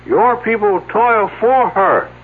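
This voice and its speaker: An odd, solemn mystic for a 1970s radio drama. He intones stilted prophecies very slowly in a high voice. The old broadcast sounds thin and phone-like. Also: expressive, breathy edge, exaggerated voice. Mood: solemn, mystical. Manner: solemn, stilted oracular phrasing. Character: eccentric self-styled ancient Indian mystic in costume, solemn.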